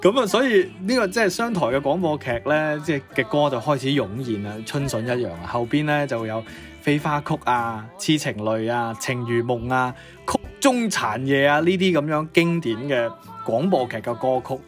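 A man's voice: mid-range voice, lively intonation, normal speed. A man co-hosting a chatty music-history programme in an exclamatory, reactive way. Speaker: podcast co-host. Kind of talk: conversational music-history commentary.

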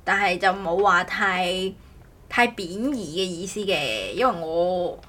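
A woman co-hosting a casual podcast, telling stories in an animated, rambling way. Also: lowish voice, slow pace. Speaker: podcast co-host. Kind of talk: casual chat.

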